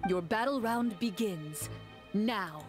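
A woman playing a composed game master, narrating a fantasy adventure in a measured, dramatic way. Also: medium pitch, lightly acted. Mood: ominous. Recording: game music behind.

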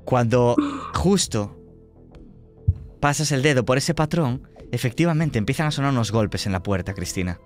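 A man's voice: medium pitch, expressive, moderate pace, faint music behind. A man with an accent from Spain, narrating a suspenseful tabletop roleplaying game as game master. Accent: Spanish from Spain.

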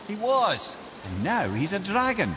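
Lively wry Scottish old sage. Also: male voice, high-pitched, unhurried, background noise, full character voice. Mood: wry, amused. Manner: wry, wordy, genial. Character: wry, learned talking severed head, older male storyteller. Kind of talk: video game character acting. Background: loud game music.

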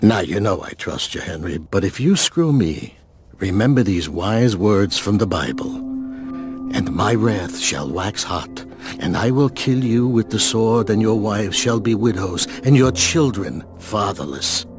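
An actor playing a shrewd older loan shark in a video game, measured and courteous with a threatening edge. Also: male voice, fairly low pitch, animated, music in the background. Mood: quietly menacing. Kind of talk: video game cutscene acting.

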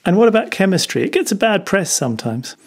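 A man asking conversational interview questions in a hesitant, gently probing manner. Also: sits high, very animated, fast, breathy edge.

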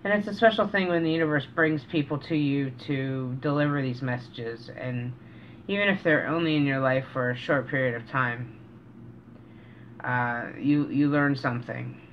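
A middle-aged man talking casually and reflectively to camera as a vlogger, earnest and halting, pausing mid-sentence, in a small room indoors. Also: medium pitch. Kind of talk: casual, reflective vlog talk. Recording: dull, noisy home recording.

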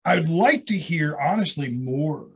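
A man's voice: on the high side, expressive, unhurried, creaky, slightly rough. A man hosting a radio interview, enthusiastic and chatty, often restarting his sentences. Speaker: radio host.

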